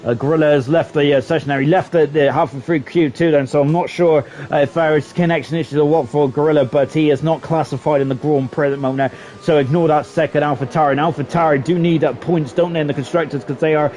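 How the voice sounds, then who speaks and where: A man giving live sim racing commentary in a chatty, excitable way, often ending sentences with tag questions. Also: a little high, fast talker, few pauses. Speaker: esports race commentator.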